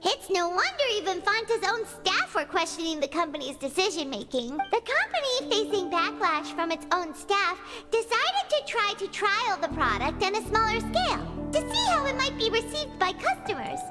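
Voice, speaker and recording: A woman's voice: very high voice, very animated, normal speed, hardly any pauses. A woman voicing a small, childlike fairy companion in a video game, chatty and earnest. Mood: eager, explaining. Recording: game music behind the voice.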